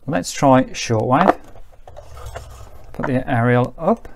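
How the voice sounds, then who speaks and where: A man's voice: mid-range voice, slow pace. A British man giving casual hands-on commentary as he reviews a vintage radio. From United Kingdom. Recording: clear background noise, clicks.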